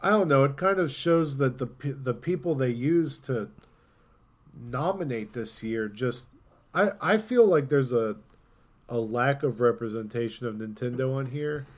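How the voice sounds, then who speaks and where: A man's voice: mid-range voice, animated, measured pace. Casual man chatting on a gaming podcast. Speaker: podcast co-host.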